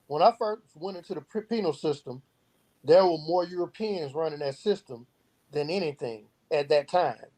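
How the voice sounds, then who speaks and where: A man's voice: a little high, lively intonation, normal speed, warm tone, rough recording. A man speaking as an interview guest, giving earnest, emphatic answers and illustrating his points with stories. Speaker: guest, youth program founder. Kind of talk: earnest interview talk with storytelling.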